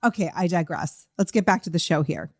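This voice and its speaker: A woman in her fifties hosting a casual, chatty interview, telling stories in an animated way and quick to laugh. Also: fairly low pitch. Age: 50s. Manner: animated storytelling, laughs readily, quotes herself and others. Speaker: podcast host interviewing an author.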